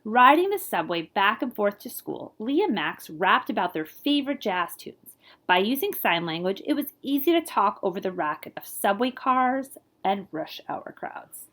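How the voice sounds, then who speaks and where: Very animated woman reading a storybook aloud. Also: medium pitch, moderate pace. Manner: animated, playful with scat-like sound words. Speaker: librarian reading a picture book aloud. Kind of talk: expressive children's read-aloud with asides to listeners.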